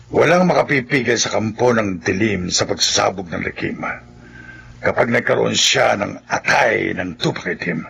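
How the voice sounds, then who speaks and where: A man playing a solemn, gravelly folk healer in a Tagalog horror radio drama, speaking gravely and with emphasis. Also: on the low side, moderate pace, a bit bright, a little hiss or hum. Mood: ominous. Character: solemn male folk healer who battles evil creatures.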